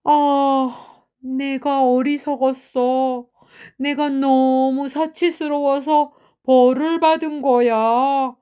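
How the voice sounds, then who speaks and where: Woman playing a pompous, drawn-out storybook emperor, high-pitched. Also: fairly even intonation, warm tone. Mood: regretful. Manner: stately, commanding, drawn-out delivery. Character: vain, pompous but gullible storybook emperor.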